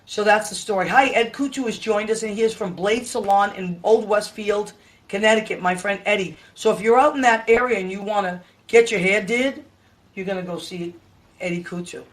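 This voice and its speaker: A hoarse woman in her fifties hosting a casual live chat from home, rambling and tired, talking into a webcam. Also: medium pitch, brisk. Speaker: livestream host. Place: home room with Christmas tree.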